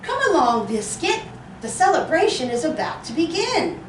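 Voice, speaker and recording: Woman reader as a cheerful little girl, sing-song and lively, in a roomy space off-mic. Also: high-pitched, moderate pace, slight background noise. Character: cheerful little girl talking to her puppy. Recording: roomy echo, distant mic.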